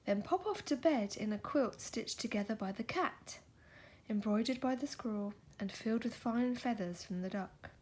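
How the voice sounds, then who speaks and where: A woman reading a picture book aloud in a soft ASMR style, gentle and coaxing, slipping into breathy whispers. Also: on the high side, lively intonation, moderate pace.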